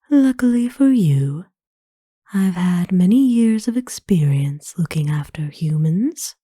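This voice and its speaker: Woman playing a slow, whispering, gentle vampire mistress. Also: on the low side, breathy edge, no room sound, clean studio sound. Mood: soothing. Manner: gentle, formal, reassuring, soothing. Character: kind, doting female vampire owner of a human. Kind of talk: whispered ASMR roleplay.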